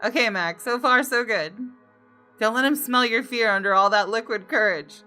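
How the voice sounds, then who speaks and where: A woman's voice: a little high, normal speed, bright, forceful tone. A woman reading the first-person narration of a jaded, guilt-ridden woman in a visual novel, in a dramatic confessional manner. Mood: wry, resolute. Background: guitar game music.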